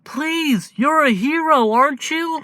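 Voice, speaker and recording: A man doing a high, pleading young boy. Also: slow, pushed and bright, dry recording, full character voice. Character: young boy, desperate and tearful.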